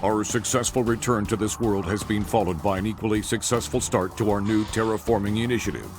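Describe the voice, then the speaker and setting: An actor playing an emotionless robot villain, stiff, formal and deadpan, in an animated video game. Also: male voice, fairly low pitch, brisk, music in the background, natural voice.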